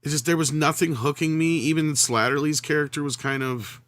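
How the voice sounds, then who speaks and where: A man's voice: a little high, fairly even intonation, brisk, a bit bright. A man in casual conversation, giving candid opinions with some hesitation. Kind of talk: casual chat.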